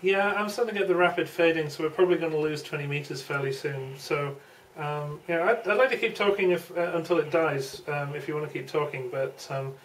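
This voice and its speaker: A woman's voice: low-pitched, brisk. A woman talks in a casual, conversational way as a ham radio operator. Her voice comes over a shortwave transmission, sounding far off, with a light layer of static. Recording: distant radio link with faint static.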